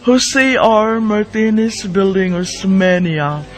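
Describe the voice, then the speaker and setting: Slow, high-pitched Cebuano radio host, male. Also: expressive, faint music behind. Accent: Cebuano Filipino. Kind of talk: scripted radio programme announcing.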